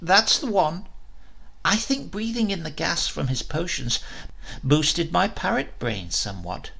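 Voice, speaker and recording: A man's voice: fairly high pitch, big pitch swings, gravelly, sharp tone, slightly rough, exaggerated voice. A man reading a comic storybook and voicing a boastful, scheming male talking parrot who bluffs his way along. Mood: chatty. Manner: blustering, quick to bluff.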